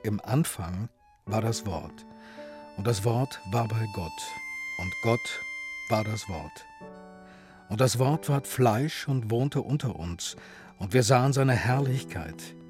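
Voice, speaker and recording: Guy reading the bible solemnly, very slow, mid pitch, guitar playing behind. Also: a little mellow. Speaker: Bible reader.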